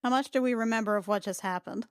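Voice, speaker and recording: A woman's voice: fairly high pitch, quick pace, dry recording, studio quality. A woman playing a tabletop roleplaying game, chatting casually with playful, mock-exasperated banter.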